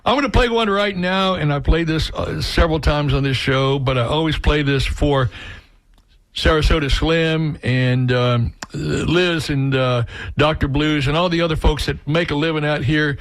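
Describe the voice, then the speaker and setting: A man's voice: fairly high pitch, fairly even intonation, normal speed, no room sound. A man hosting a radio show, rambling in a folksy, casual way in a studio. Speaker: radio show host and musician. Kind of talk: casual on-air radio chat.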